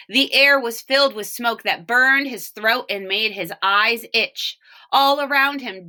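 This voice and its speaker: Bright, animated woman reading a storybook. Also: medium pitch. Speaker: storybook reader for children. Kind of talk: read-aloud storytelling with brief picture explanations.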